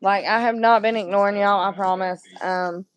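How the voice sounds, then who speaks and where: A woman livestreaming casual chat to her viewers, hoarse from screaming, rambling and apologetic. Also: medium pitch, fairly even intonation, moderate pace.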